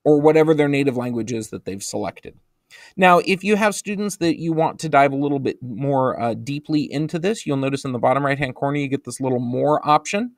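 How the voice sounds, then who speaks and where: A man's voice: medium pitch, a little fast, no room sound. Dry-sounding man walking through a tutorial. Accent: American. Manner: relaxed, explanatory, frequent ums and uhs. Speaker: instructional technology trainer. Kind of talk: screencast tutorial narration.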